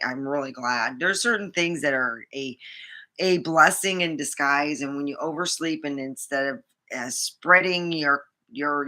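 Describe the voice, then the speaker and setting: Chatty woman vlogger, rambly, lots of ums and restarts, bright tense voice. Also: low voice. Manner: rambling, many fillers and restarts, laughs often. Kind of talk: casual rambling chat.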